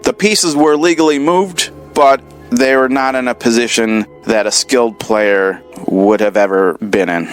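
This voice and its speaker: A man from the United States reading out a listener comment in a steady, methodical way. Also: medium pitch, measured pace, few pauses, faint music behind. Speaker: listener contributor.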